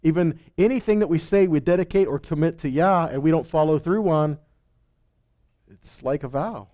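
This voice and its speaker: Male Bible teacher, slightly high-pitched, conversational sermon style, mixes scripture reading with plainspoken commentary and rhetorical questions. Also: moderate pace, mellow. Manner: conversational, punctuated with rhetorical questions and check-in words.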